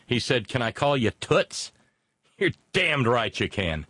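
Halting, folksy male voice in late fifties. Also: medium pitch, very animated, bright, forceful tone, no echo. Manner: halting, emphatic, folksy colloquial phrasing. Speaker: show host taking a call. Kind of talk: candid personal conversation.

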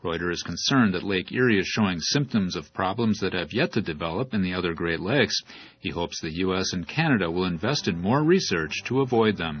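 A man's voice: low voice, slightly rough. A man reading a scripted science report on the radio. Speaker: radio news reader. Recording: dull radio audio with faint music.

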